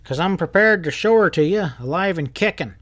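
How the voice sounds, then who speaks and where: A man's voice: sits high, animated, brisk, a bit bright, voice pushed up. A man voicing a desperate, defensive jailed rancher in a western story, urgent and dogged. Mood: dogged, triumphant.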